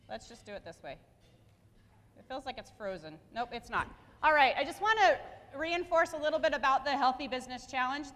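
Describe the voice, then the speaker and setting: A woman's voice: sits high, fairly bright tone. A woman in her forties giving an upbeat motivational talk at a luncheon, often restarting her phrases. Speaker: guest speaker. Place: banquet hall. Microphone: lapel mic. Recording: echoey hall.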